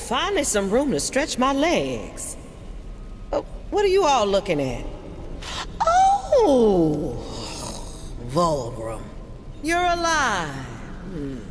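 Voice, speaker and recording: An actor playing a sardonic demon in a video game, theatrical and teasing, with a languid drawl. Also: male voice, sits high, full character voice. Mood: languid surprise. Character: sardonic, drawling demon freed from a stone prison. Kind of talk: video game dramatic acting. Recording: long reverb, noisy ambience behind.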